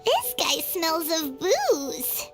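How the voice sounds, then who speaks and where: Anime game sidekick creature: very high, squeaky, swooping childlike voice, eager and polite, over loud music. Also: female voice, wildly swooping pitch, drawn-out words, full character voice. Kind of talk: anime video game voice acting.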